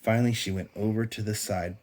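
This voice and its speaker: A man reading a storybook aloud to a child as a father does at bedtime, in an animated storytelling style. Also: on the low side, fast, slight background noise.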